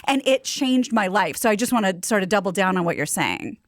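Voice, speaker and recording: A woman co-hosting a talk show, telling a personal story in casual, animated chat and quoting what others said. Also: mid-range voice, brisk, no echo, studio quality. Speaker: show co-host.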